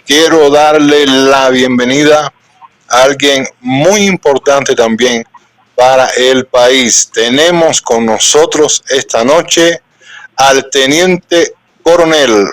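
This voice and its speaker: A man with a Cuban accent hosts a live-streamed interview. He speaks in an earnest, unhurried way. His voice sits in a middle pitch, and the sound is dry and close, with no room echo. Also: fairly bright tone. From Cuba. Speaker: host.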